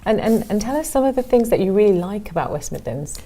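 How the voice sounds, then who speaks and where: A woman's voice: mid-range voice, very animated, quick pace, warm tone. A woman hosting an interview show, welcoming her guest and asking questions. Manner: welcoming, brief acknowledgements. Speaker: show host.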